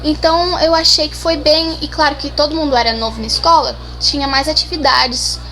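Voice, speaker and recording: A woman's voice: sits high, fairly even intonation, brisk, sharp tone, low-quality recording. A seventeen-year-old girl from Brazil, answering interview questions casually, shy and hesitant with many restarts. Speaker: interview guest.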